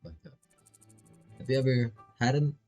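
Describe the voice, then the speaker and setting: A man's voice: mid-range voice, steady tone, moderate pace, a little mellow, faint music behind. A man doing laid-back, casual live commentary on a visual novel, reading text aloud and swearing freely as he reacts.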